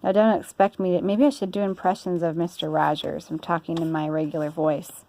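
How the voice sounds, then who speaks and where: A woman's voice: lowish voice, flat delivery, brisk, mellow. A woman reading a children's story aloud in an informal way. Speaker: storybook reader.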